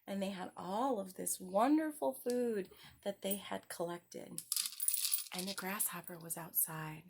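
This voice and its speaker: A woman's voice: mid-range voice, unhurried, gravelly, darkish tone, husky. A woman hosting story time for young children, telling tales expressively in simple words.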